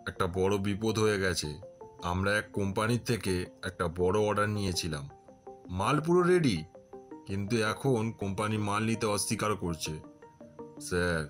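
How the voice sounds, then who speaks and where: A man's voice: lowish voice, a bit bright, close to an everyday voice. A man playing a deferential underling eager to serve his boss in a cartoon story. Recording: music under the voice.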